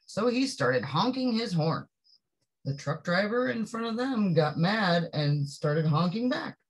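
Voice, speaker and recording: A woman reading a picture book aloud to young children, expressively, with drawn-out phrases and chatty asides that put questions to the listener. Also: on the low side, expressive, slightly rough, low-quality recording. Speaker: storybook reader.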